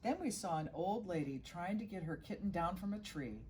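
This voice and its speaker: Middle-aged woman, lowish voice, children's story reading, small stumbles, audible background noise. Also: expressive, normal speed, dark tone. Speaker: guest children's story reader.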